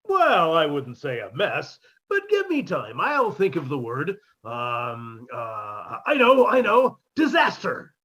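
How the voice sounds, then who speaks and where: A man's voice: fairly high pitch, huge pitch swings, slow, few pauses, fairly bright tone, breathy edge, exaggerated voice. A man playing a shabby, self-pitying musician neighbor who delivers dry punchlines in a 1950s-style radio comedy. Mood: mocking.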